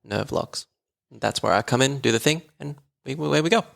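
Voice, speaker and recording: A man's voice: mid-range voice, animated, fairly quick, gravelly, fairly bright tone. A man, a voice therapist talking as a guest in a casual podcast conversation, enthusiastic and chatty with frequent laughs.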